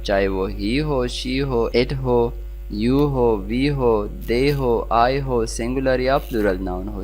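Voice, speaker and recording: A grammar teacher with a Pakistani accent, explaining rules and reading out example sentences in a deliberate, instructional way. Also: medium pitch, creaky, slight background noise, low-quality recording.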